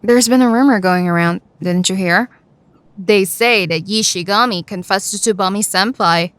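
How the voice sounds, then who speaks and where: A woman's voice: mid-range voice, moderate pace, dry recording, close to an everyday voice. A woman voicing a gossipy teenage schoolgirl in an anime fan radio play, chatty and matter-of-fact.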